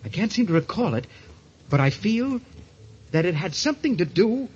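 A haunted professor husband unraveling in a vintage radio thriller. His speech is halting and repetitive, and his pitch swings widely from low to high. The recording is muffled, with faint hiss. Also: big pitch swings, darkish tone. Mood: brooding, then frantic, obsessed. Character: tormented, obsessive newlywed professor husband, sliding into madness. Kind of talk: old-time radio thriller acting.

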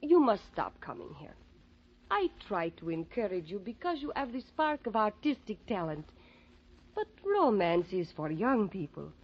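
Husky, dramatic married lady. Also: mid-range voice, sing-song, a little slow, exaggerated voice. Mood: firm, reproving. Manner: earnest, dramatic, formal phrasing. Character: dutiful, admonishing older married woman with young admirer. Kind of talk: 1940s radio drama acting. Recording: muffled old radio recording.

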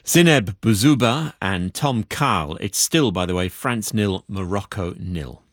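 A middle-aged man presenting the news live in a radio studio, brisk and polished as he moves between interviews and stories. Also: fairly low pitch, animated, no echo.

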